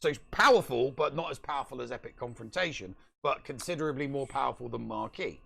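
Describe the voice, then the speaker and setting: A man's voice: mid-range voice, expressive, fast talker, vocal fry, a bit bright, a little hiss or hum. A middle-aged man co-hosting a podcast from home over a video call, casually debating a video game. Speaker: podcast co-host.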